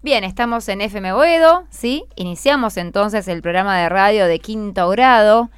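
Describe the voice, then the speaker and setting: A woman's voice: medium pitch, normal speed, smooth, no room sound. A woman with a Buenos Aires accent hosting a school radio show, encouraging and full of questions as she talks. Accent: Argentine, Buenos Aires. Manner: encouraging, asks many questions. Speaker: radio host. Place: radio studio.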